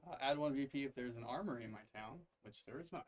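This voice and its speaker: A man's voice: mid-range voice, fast, poor audio. A man playing a board game, talking casually and reading card text aloud.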